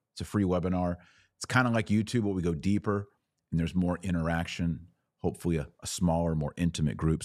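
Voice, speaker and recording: A man with a low-pitched voice hosts a live show in a conversational, direct style. He urges his listeners along as he moves through comments and commentary. The sound is dry, with very little room in it. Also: a little mellow, no room sound. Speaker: podcast host. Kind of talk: casual live commentary, reading and answering viewer comments.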